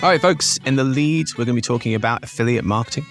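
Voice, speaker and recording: A man's voice: mid-range voice, fast talker, loud music behind the voice. A man hosting a business podcast in a cheery, jokey, chatty way. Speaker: podcast host.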